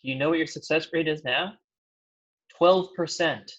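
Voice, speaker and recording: A man's voice: a little high, moderate pace, fairly bright tone. A man acting a stern, blunt thirty-year-old efficiency expert in a comedy table read, scolding in a dry manner. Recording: dull, lo-fi sound.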